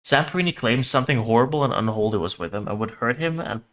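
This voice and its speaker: A man's voice: mid-range voice, expressive, fast talker, fairly bright tone. A man hosting a reaction livestream, chatting casually and bluntly with viewers, sometimes swearing and sometimes reading text aloud. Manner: sweary, blunt, teasing banter with chat. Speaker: livestream host reacting to horror videos. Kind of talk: casual stream chat mixed with reading on-screen text aloud.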